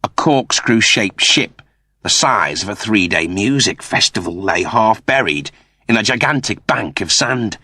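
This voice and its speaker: A man's voice: lowish voice, animated, unhurried, bright, forceful tone, dry recording, clean studio sound. A man reading a story as a narrator, in a dramatic scripted style. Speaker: audiobook narrator.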